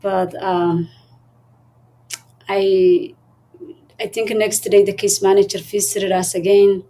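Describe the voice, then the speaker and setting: Mellow-voiced woman, soft-spoken. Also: mid-range voice, animated, slow. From Somalia. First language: Somali. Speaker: guest. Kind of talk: interview conversation.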